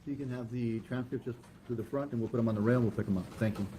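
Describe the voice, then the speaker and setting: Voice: mid-range voice, fairly even intonation, very fast. A judge speaking in a formal but conversational way in a courtroom. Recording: noisy courtroom audio.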